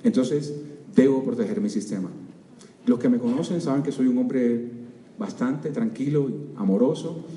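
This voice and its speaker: An older man with a Cuban accent giving an energetic motivational seminar talk, constantly quizzing his audience. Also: medium pitch. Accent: Cuban Spanish. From Cuba. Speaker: seminar speaker. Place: large auditorium. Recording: echoey hall, distant mic, faint crowd.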